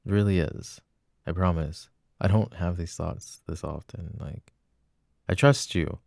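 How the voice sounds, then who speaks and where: A jealous, insecure boyfriend, played by a man, talks in a very low voice with plenty of fry. The recording is dry and intimate, like ASMR roleplay. He pleads earnestly and haltingly, devoted beneath the doubt. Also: no room sound. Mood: apologetic, soothing. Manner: hesitant, earnest, soft-spoken pleading. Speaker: roleplay audio voice actor.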